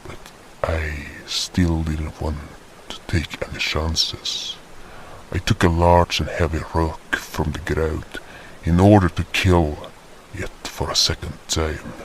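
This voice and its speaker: A man playing a solemn hunter who narrates a fantasy tale of battle in a grave, breathy, deliberate way. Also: extremely low pitch, little pitch movement, a little hiss or hum, poor audio. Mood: grave, tense. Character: solemn hunter recounting a monster fight. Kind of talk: scripted dramatic fantasy story narration. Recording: music bed behind the voice.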